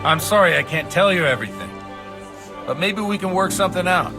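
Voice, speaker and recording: An actor playing a worldly, kindly but principled middle-aged village priest in a video game, speaking in a measured, fatherly way. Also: sits high, expressive, music in the background. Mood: sympathetic, curious. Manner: measured, fatherly, gently philosophical. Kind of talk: video game cutscene acting.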